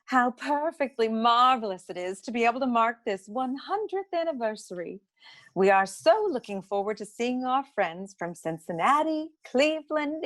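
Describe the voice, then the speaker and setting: An actress playing a poised, witty woman who works beside a noir detective, speaking in an arch, formal, articulate way in a comic radio play. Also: sits high. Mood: revelatory.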